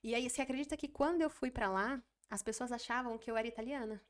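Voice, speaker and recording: A woman in her twenties, a podcast guest chatting casually and telling stories with lively repeats. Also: fairly high pitch. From Brazil. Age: 20s. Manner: chatty, animated storytelling with quick repeats. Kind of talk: casual interview chat.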